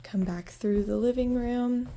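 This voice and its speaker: A woman's voice: fairly high pitch, a little slow, mellow, soft background music. A woman real estate agent giving an upbeat, chatty walking tour of a house, filming it herself on a phone. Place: inside and around a house, voice-over while filming.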